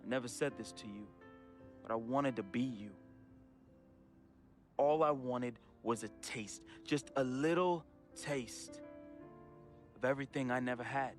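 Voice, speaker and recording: A man's voice: mid-range voice, unhurried, long pauses, close to an everyday voice. An actor playing a troubled, grieving young American man reading a farewell letter to his friend in an emotional, slangy, confessional voice-over. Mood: regretful, apologetic. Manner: emotional, slangy, heartfelt urban American delivery. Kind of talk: dramatic confessional voice-over monologue. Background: music.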